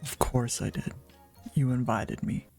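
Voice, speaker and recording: A woman's voice: very deep voice, unhurried, vocal fry, darkish tone, faint music behind, pitched below the performer's own voice, full character voice. A woman voicing a melancholy, self-mocking man, a neighbor in a game, in a subdued, earnest way. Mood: wistful, heavy.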